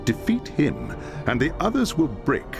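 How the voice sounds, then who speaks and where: A man's voice: a little high, huge pitch swings, a little slow, gravelly, over music, full character voice. An actor playing a stern male leader in a fantasy video game, briefing a warrior with solemn authority. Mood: urgent, resolute.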